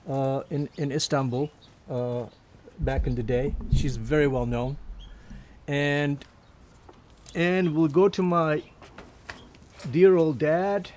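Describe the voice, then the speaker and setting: Older interviewee, a touch high-voiced, halting and rambling as he reminisces. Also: darkish tone. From Turkey. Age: 70s. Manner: hesitant, many fillers and restarts, chuckling. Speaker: interviewee, musician. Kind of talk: casual life-story interview.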